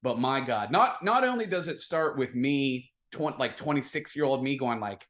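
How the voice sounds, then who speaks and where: A man's voice: medium pitch, lively intonation, normal speed, a bit bright. A man speaking informally and emphatically as a guest in a casual sports chat, telling stories with plenty of slang. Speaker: guest, football video production staffer.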